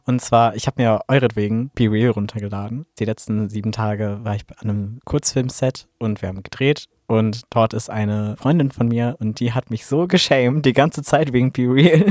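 Relaxed playful male host, mid pitch, dry close studio. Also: moderate pace, hardly any pauses, dry recording. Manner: laughs often, relaxed and playful. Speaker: podcast host. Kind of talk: casual chat. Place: small recording studio.

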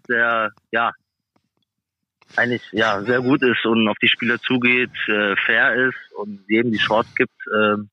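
A man's voice: mid-range voice, no room sound, muffled recording. A man being interviewed casually as an athlete guest, speaking in a hesitant, plain-spoken way. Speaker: guest, professional ice hockey player.

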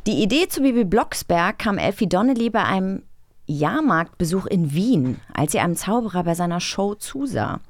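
A woman's voice: medium pitch, very animated, normal speed, no echo, clean studio sound. A woman co-hosting a podcast, teasing and casual, sometimes reading out quiz claims. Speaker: podcast host.

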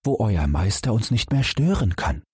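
A man's voice: lowish voice, sing-song, normal speed, darkish tone, breathy edge, no echo, studio quality, pitched above the performer's own voice, heavily acted. A man playing a crafty male servant in a storybook reading, speaking in a slightly raised, eager voice. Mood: sly. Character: sly adult male servant, scheming.

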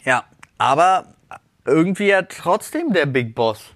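A man's voice: a little high, sing-song, a little slow, a bit bright, no echo, clean studio sound. A man co-hosting a podcast in casual chat, enthusiastic and agreeable. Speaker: podcast co-host.

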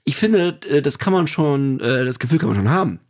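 A man's voice: medium pitch, lively intonation, fairly quick. A man co-hosting a podcast, speaking candidly and hesitantly with many fillers. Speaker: podcast co-host. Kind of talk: casual podcast chat.

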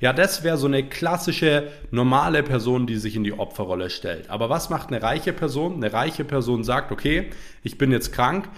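A young man hosting a motivational podcast, talking earnestly while he has a cold, peppering his points with quick fillers. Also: mid-range voice, expressive. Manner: earnest, repetitive, frequent "ja" fillers. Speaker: podcast host.

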